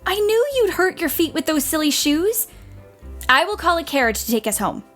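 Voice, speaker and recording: A woman's voice: extremely high pitch, normal speed, faint music behind, full character voice. A woman playing a spirited, tomboyish teenage girl in a period audio play, youthful and eager. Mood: frank, earnest. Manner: eager, expressive.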